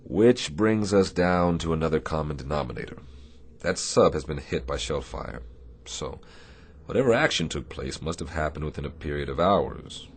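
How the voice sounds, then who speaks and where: A man playing a commanding, wry Navy captain in a radio drama, speaking with brisk authority. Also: rumbling low, slight background noise. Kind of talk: radio theatre acting.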